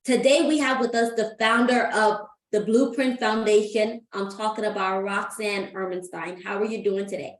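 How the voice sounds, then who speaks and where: A woman's voice: mid-range voice, fairly even intonation, normal speed, hardly any pauses. A woman from Guyana hosting an interview show, asking friendly questions in an upbeat way over a video call. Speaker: host. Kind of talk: interview host. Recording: echoey room.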